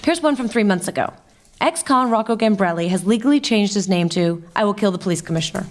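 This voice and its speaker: A quick-talking newspaper reporter played by a woman with a mid-pitched voice. She is skeptical, earnest and wry, delivering everything matter-of-factly in a comic radio play. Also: expressive, close to an everyday voice. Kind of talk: comic radio drama acting.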